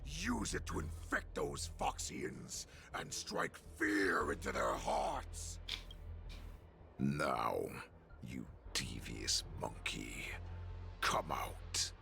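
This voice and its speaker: Gravelly, menacing wolf warlord. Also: male voice, a bass voice, very animated, extremely slow, sharp tone, faint music behind, heavily acted. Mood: commanding menace. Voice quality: gravelly, breathy growl. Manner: grandiose, theatrical proclamations with whispers and roars. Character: menacing savage wolf warlord, bloodthirsty and grandiose. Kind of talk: dramatic video game voice acting.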